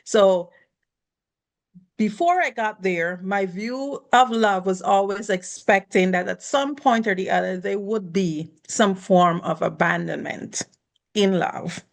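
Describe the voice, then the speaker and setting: A woman from the Caribbean talks as a guest on a conversation podcast. She tells her personal story earnestly and graciously, her voice at an ordinary pitch. Small laughs slip in between her thoughts. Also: slow pace, creaky. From Caribbean, born in Guyana, raised in Barbados.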